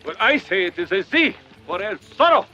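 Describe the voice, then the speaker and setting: A man's voice: high-pitched, big pitch swings, normal speed, pushed and bright, full character voice. An actor playing a cocky, theatrical masked swordsman hero in a film. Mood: swaggering. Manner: theatrical, self-assured, swaggering. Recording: dull film soundtrack with music.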